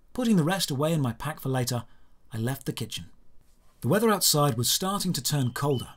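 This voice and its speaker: A man narrating a scripted first-person fantasy audio drama, with measured, descriptive, dramatic phrasing. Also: mid-range voice.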